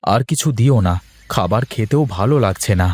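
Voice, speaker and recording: A man's voice: fairly low pitch, animated, normal speed, a little mellow, no echo, clean studio sound. Man acting a weary, worried cartoon husband. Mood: worried, proud. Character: weary, worried married office worker, proud and plain-spoken.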